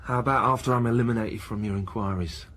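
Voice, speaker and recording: A man's voice: on the low side, fast talker, fairly bright tone. A man playing a jaded, flirtatious university researcher in a radio crime drama, informal and sardonic.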